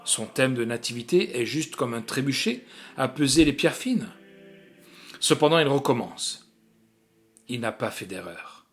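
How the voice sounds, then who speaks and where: A man narrating a literary short story in an expressive, theatrical way with deliberate phrasing. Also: medium pitch.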